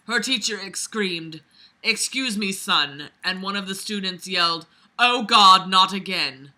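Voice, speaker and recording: Young woman reading a story out loud and mocking it, super bright edgy voice, fed up and sweary. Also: mid-range voice, a little slow. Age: young adult. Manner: weary, exasperated, sweary. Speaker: vlogger reading fiction aloud and mocking it. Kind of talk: casual reading with sarcastic commentary. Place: small bedroom, lying on a bed.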